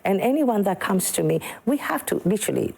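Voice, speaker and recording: A woman's voice: medium pitch, very animated, brisk, gravelly, darkish tone, husky. A woman from Sekondi-Takoradi in Ghana, a musician guest on a morning TV studio show, who talks emphatically and repeats words for stress. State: a bit slow this morning.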